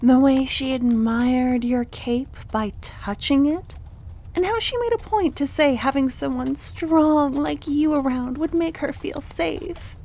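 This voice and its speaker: A woman's voice: sits high, slow, warm tone, slight background noise, voice pushed up. A woman voicing a jealous, possessive necromancer girlfriend in an ASMR roleplay, venting with theatrical indignation. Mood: indignant, jealous.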